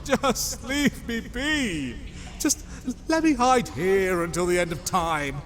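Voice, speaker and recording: A man's voice: high voice, animated, takes it slowly, fairly bright tone, heavily acted. A man voicing a timid, cowering, traumatized god in hiding, fearful and hesitant, in a dramatic comic book reading. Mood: terrified.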